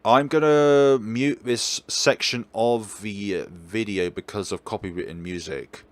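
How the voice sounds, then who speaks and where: A man hosting a let's play, chatting through a visual novel in an animated way. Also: lowish voice, a little slow, dry recording.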